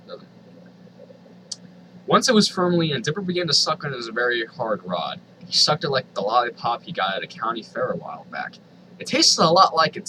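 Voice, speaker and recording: A man's voice: mid-range voice, sharp tone, a little hiss or hum, poor audio. A man reading a story aloud dramatically, with exasperated, sardonic asides. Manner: exasperated, sardonic, swears freely. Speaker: reader of online fan fiction.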